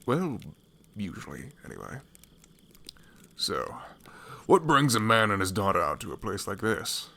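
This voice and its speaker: Man doing a low, gruff older band leader. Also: very animated, a little slow, long pauses, bright, forceful tone, exaggerated voice. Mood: jovial, teasing. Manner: teasing, self-assured. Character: older, jovial, gruff leader of traveling companions. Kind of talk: fantasy audio roleplay.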